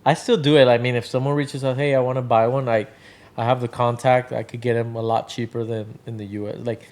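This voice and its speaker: A man in his thirties from Venezuela, chatting casually as a podcast guest, with a relaxed, rambling manner full of fillers. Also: medium pitch, brisk. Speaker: guest, drink brand founder. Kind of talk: casual interview chat.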